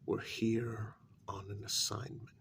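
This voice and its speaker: A man playing a blunt, put-upon vampire counselor in a soft-spoken ASMR role-play, breathy and often near a whisper, halting and formal. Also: on the low side, level tone, a little hiss or hum. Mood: exasperated, defensive.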